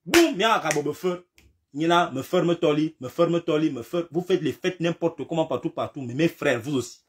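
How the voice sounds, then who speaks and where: A man with a slight cold giving an impassioned political commentary, repeating himself and firing rhetorical questions, now and then rising to a shout. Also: fairly high pitch, moderate pace, a little hiss or hum.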